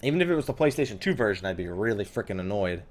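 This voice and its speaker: Sweary man with very animated, swinging pitch. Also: racing. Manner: sweary, griping, sarcastic. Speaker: host of a gaming let's play series. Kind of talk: casual let's play commentary.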